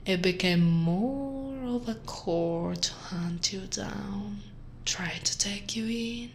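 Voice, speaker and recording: A woman from Italy playing a smug, flirtatious sheriff in an ASMR roleplay, with sultry, teasing delivery that drops into breathy whispers. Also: mid-range voice, slight background noise. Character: teasing, smug, flirtatious female sheriff gloating over captive. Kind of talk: ASMR roleplay, scripted acting.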